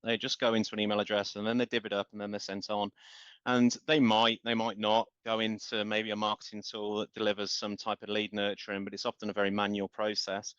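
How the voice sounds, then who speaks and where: A man's voice: fairly low pitch, completely flat delivery, fairly quick, a bit bright, clear, resonant tone, no room sound. Man, business guest, passionate and rambling, dry and muffled. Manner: passionate, talks in long run-on explanations with repeated words. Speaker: guest, marketing company founder. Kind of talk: business podcast interview. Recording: dull, lo-fi.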